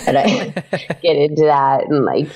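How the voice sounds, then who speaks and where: A woman's voice: sits low, unhurried, darkish tone. A young adult woman chatting as a podcast guest, laughing often and using many fillers. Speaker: podcast guest, audio drama creator. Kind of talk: casual interview chat. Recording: dull lo-fi podcast audio.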